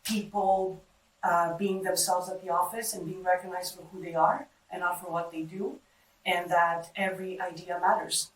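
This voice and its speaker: A woman's voice: fairly low pitch, fairly even intonation, moderate pace. A middle-aged woman, a native Greek speaker, giving short interview answers. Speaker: data center engineer being interviewed. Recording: roomy, distant mic, background noise.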